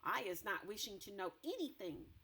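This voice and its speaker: Woman doing a high, lilting gentle old giant. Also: wildly swooping pitch, exaggerated voice. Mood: mild. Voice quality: high, lilting, slows on odd made-up words. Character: big gentle male giant, kindly, mangled grammar.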